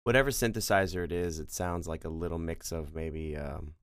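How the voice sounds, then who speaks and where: A man co-hosting a casual music discussion, haltingly feeling out his descriptions and sounding out rhythms. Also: deep voice, steady tone, no echo, clean studio sound.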